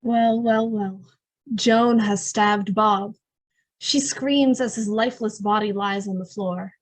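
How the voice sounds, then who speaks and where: A woman's voice: medium pitch, fairly even intonation, takes it slowly. A woman narrating an old-time radio drama in a theatrical, knowing, suspenseful way. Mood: ominous knowing.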